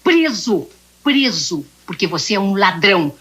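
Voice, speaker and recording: Radio drama imperious wife: commanding, contemptuous, husky edge, big swings in pitch. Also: female voice, very animated, a little slow, fairly bright tone, full character voice. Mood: scornful, threatening. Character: imperious, scornful wife bullying her husband. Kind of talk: old radio drama acting.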